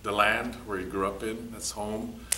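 A man's voice: on the low side, little pitch movement, normal speed, sharp tone, slight background noise. A middle-aged man answering interview questions in a laid-back, reflective way. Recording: echoey room, distant mic.

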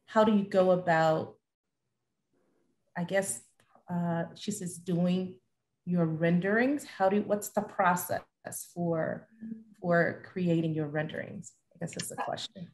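A woman's voice: on the low side, fairly even intonation, slow, darkish tone, echoey room. A middle-aged woman hosting a conversational question-and-answer session on a video call. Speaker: host, moderator. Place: bright living room at home, video call.

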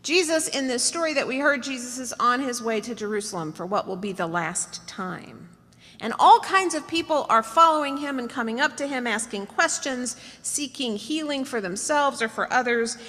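Bright-voiced American woman preaching in a hall. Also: a little high, lively intonation, moderate pace. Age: middle-aged. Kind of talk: sermon read from notes to a congregation. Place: church meeting hall, indoors. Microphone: podium gooseneck microphone. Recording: reverberant hall, podium microphone.

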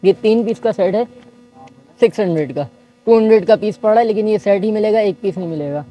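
Soft-spoken shopkeeper listing prices. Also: male voice, high voice, a little fast, faint music behind, rough recording. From Pakistan. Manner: patient, listing items one by one. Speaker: shop owner. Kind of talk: showing goods and quoting prices. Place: busy market shop indoors.

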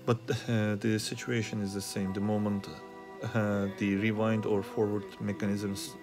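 A man giving an unscripted troubleshooting demonstration as a hobbyist repairer, speaking haltingly with frequent pauses and hesitations. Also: lowish voice, little pitch movement, over loud music. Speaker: hobbyist repairer asking viewers for help. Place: small indoor workspace at a repair bench.

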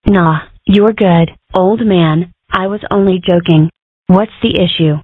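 A woman voicing a weary, dry-witted female rabbit in charge, deadpan and sardonic, in a cartoon comic dub. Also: fairly low pitch, a little slow, breathy edge, no room sound, narrow, tinny audio. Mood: dry, teasing. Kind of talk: comic dub character acting.

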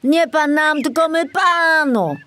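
A woman's voice: high-pitched, takes it slowly, sharp tone, slight background noise, pitched above the performer's own voice, exaggerated voice. A woman voicing a small male forest sprite in a storybook, formal, polite and touchy, given to admonishing.

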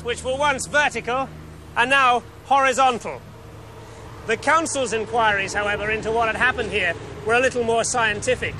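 A man in his thirties with an English accent giving a scripted television report in a wry, measured way with deliberate pauses. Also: sits high, sharp tone. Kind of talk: scripted investigative report, to camera and voice-over. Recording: 1970s TV film sound, background noise.

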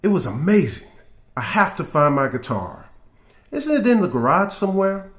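A man voicing an excited, curious young boy in a storybook, with an eager delivery. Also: high-pitched, moderate pace, voice pushed up.

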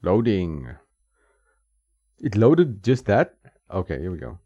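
Man, deep voice, casual streamer chatter, frequent ums, dry muffled home mic. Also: very animated, slow pace, mellow, breathy edge, dry recording. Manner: rambling, thinks aloud with many ums and small laughs. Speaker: retro game streamer. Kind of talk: casual gameplay commentary. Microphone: desk mic.

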